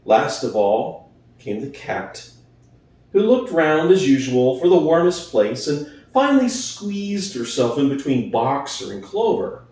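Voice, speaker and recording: Male narrator for dramatic literary storytelling. Mid pitch, lively melodic swings, measured delivery, heard a bit distant in a heavily reverberant room. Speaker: audiobook reader. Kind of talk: dramatic scripted narration of a classic novel. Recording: very echoey room, distant from mic.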